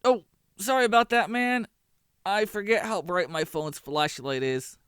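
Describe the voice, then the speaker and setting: A man's voice: high voice, a little slow, fairly bright tone, clear, resonant tone, no echo, clean studio sound, voice pushed up. A man voicing an eager, easygoing young adventurer with a casual manner, in a cheesy horror audio drama. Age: young adult.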